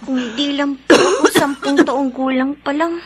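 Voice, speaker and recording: A tender, dutiful young daughter in a radio drama. The voice is very high and childlike, with slow, earnest delivery. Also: female voice, fairly even intonation, slight background noise. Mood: worried, sorrowful. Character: worried, dutiful ten-year-old daughter, tender and earnest.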